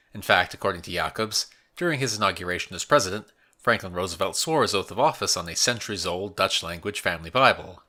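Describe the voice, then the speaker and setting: A man hosting a history podcast, reading a scripted narration in a measured, explanatory way. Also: low voice, sharp tone.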